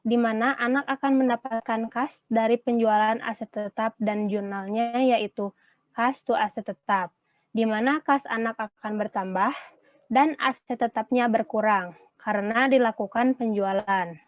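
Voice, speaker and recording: A woman's voice: a little high, steady tone, normal speed, no echo. Young woman presenting, thin phone-like sound. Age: young adult. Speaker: student presenter. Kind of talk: prepared class presentation.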